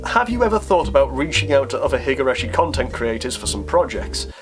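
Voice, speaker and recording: A man's voice: medium pitch, big pitch swings, fast. Casual man answering questions over music. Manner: informal, self-deprecating, thinking aloud. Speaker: YouTube creator answering viewer questions. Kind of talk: casual Q&A vlog talk.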